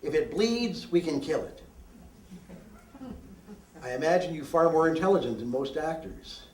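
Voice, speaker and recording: A man reading his own poetry aloud in a deliberate, deadpan, declamatory way with emphatic phrasing. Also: a little high, slight background noise. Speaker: poet reading own work. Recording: roomy echo, distant microphone.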